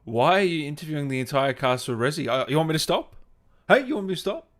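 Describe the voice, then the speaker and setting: A man's voice: fairly high pitch, very animated, fast talker, fairly bright tone. A man hosting a livestream, chatting informally and jokingly with his viewers in a matey way. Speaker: livestream host reading viewer comments. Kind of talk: casual livestream chat with viewers.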